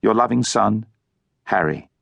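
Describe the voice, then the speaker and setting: A man reads as a young Englishman in an audiobook, earnest and polite. His phrasing is formal and articulate, the pitch on the low side, the recording dry and close. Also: a little slow, creaky, a bit bright, slightly rough, no room sound, highs cut off, heavily acted. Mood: heartfelt, solemn.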